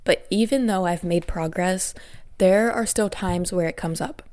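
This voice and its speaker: Young woman w a cold doing her podcast, stuffy nose, lowish mellow voice, earnest and thankful. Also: a little slow. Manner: earnest, grateful, self-reflective. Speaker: podcast host. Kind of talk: casual solo podcast talk.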